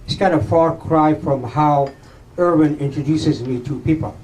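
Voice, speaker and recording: A man's voice: fairly high pitch, normal speed, background noise. A man in his seventies with an Iranian accent, a native Farsi speaker giving a humble, joking acceptance speech with many hesitations. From Iran. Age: 70s. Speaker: award recipient, author.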